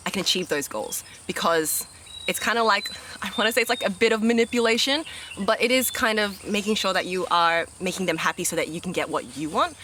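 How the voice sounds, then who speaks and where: Bright-voiced young woman. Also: on the low side, slight background noise. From Australia. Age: 20s. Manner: chatty, upbeat, many fillers and restarts. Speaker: reality game show contestant. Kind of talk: casual interview answers.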